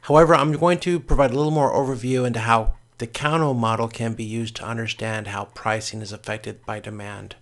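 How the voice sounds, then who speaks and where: Man, medium pitch, lots of vocal fry, course instructor, recorded lecture, explanatory, halting delivery with self-corrections. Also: fairly even intonation, a bit bright.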